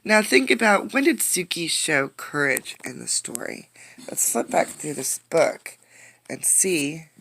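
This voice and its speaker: A woman reading a picture book aloud as a teacher, expressively, pausing to put questions to young listeners. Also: low-pitched, gravelly, slight background noise. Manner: expressive storytelling, addressing young listeners directly. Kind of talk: interactive children's read-aloud with questions.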